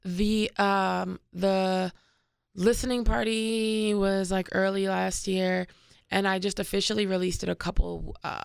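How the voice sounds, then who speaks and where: A woman from Cameroon, a musician guest chatting in a relaxed, easygoing way in a radio studio. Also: medium pitch, unhurried, vocal fry, clean tone, no room sound. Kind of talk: casual interview chat.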